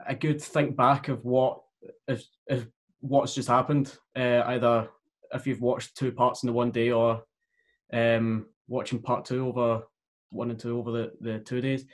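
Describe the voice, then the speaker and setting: A man's voice: medium pitch, level tone, measured pace. A young man, a stage actor, giving a casual interview answer over a video call. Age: young adult. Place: room at home.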